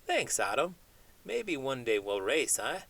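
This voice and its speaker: A man's voice: medium pitch, very animated, unhurried, vocal fry, fairly bright tone, pitched above the performer's own voice, heavily acted. Man voicing a folksy, chatty chimpanzee sidekick. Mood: curious, playful. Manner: folksy, drawling slangy grammar with "them" and "ain't". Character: folksy male chimpanzee sidekick from Minnesota, chatty big dreamer. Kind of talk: comic puppet-style banter in a children's read-aloud.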